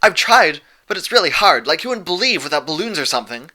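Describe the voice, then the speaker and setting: Give this storybook a giddy young mare acted out by a man. The pitch leaps high and the tone is very bright. The pony gushes with hyper excitement. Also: fast talker, exaggerated voice. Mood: excited. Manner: excited, gushing.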